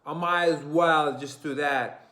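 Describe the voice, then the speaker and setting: Brash London-slang guy with a British accent, loud, high and sneering, as a man mocks him. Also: fairly even intonation, a little slow. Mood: mocking. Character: mocking caricature of a brash male London slang talker.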